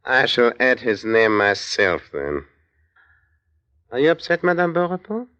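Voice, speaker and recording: A forceful, cutting male voice in vintage radio drama style, a stern officer of the occupation. He is brusque and imperious, issuing orders and questions as one used to being obeyed. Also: medium pitch, sing-song, a little slow, highs cut off. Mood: stern, suspicious. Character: curt, commanding male officer in occupied France. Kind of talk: old-time radio drama acting.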